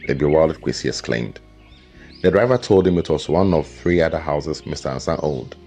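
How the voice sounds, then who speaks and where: A man with an extremely deep voice narrates a folktale from a script. His delivery is measured and dramatic, in the manner of a storyteller. Faint guitar music plays behind him. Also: lively intonation, darkish tone. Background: background music with guitar.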